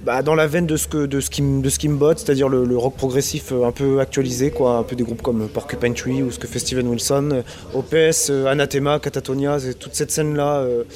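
A man's voice: medium pitch, little pitch movement, brisk, hardly any pauses, a little mellow. A man in his thirties from Brittany, answering interview questions in a casual, hesitant way with many fillers and restarts. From Brittany, France. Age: 30s. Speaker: interviewed musician.

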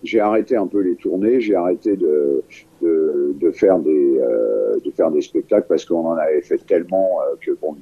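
A man's voice: very deep voice, lively intonation, moderate pace, husky. An older man from France, a singer-songwriter, talking in a phone interview with many fillers and restarts. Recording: muffled phone line.

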